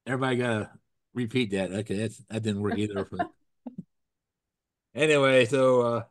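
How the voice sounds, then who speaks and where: A man's voice: lowish voice, normal speed. A man with a froggy voice from a cold hosts a casual show over a video call. He rambles, restarts his sentences and drops corny puns. The sound is close and dry, with no room echo. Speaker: host. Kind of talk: casual chat with jokes.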